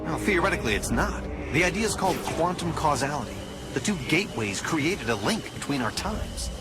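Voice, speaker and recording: Future hero guy, terse and bossy, loud game music behind. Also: fairly high pitch, big pitch swings, a little fast. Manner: terse, commanding. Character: urgent male hero from the future. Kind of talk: video game cutscene acting.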